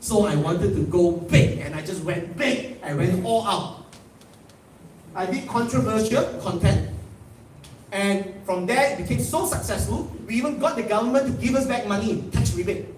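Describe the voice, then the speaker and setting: A man's voice: high voice, normal speed, far from the mic, a little hiss or hum. Boastful Malaysian man in an echoey hall. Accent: Malaysian English. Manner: boastful, animated storytelling with laughs. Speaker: motivational speaker. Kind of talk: live stage talk. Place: large convention hall. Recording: echoey hall picked up from afar.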